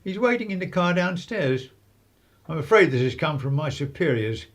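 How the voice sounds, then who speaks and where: An actor playing a dutiful, deadpan detective constable in a radio play, formal and deferential, with a husky voice. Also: male voice, a little high, moderate pace. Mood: firm, deadpan. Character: stolid, dutiful male police detective constable, politely unbudging.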